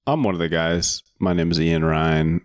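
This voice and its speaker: A man co-hosting a podcast in casual chat, with dry, wry, deadpan asides. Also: low-pitched, normal speed, dry recording. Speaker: podcast co-host.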